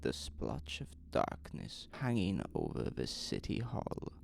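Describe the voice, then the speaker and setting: Low, creaky male horror narrator. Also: wildly swooping pitch, slight background noise. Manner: suspenseful, deliberate with weighted pauses. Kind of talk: dramatic scripted horror narration.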